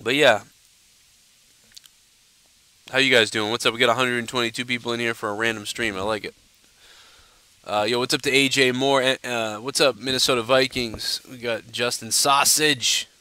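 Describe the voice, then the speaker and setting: A man's voice: medium pitch, steady tone, moderate pace, long pauses, sharp tone, clean tone, dry recording. A young man hosting a casual live stream, blunt and ranting, sounding a little nasal from a stuffed-up nose. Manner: blunt, ranting, loose and profane. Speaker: livestream host answering viewer questions.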